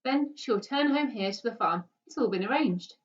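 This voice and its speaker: A woman's voice: fairly high pitch, expressive, moderate pace, close to an everyday voice. A woman voicing a firm, formal, matter-of-fact father in a storybook reading. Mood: firm lecturing. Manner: formal, lecturing. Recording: dull, distant microphone.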